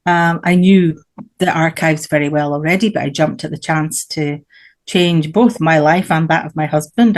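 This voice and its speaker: A middle-aged Scottish woman, a guest author and singer, telling her story at a measured pace in an interview over a video call. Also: low-pitched. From Scotland.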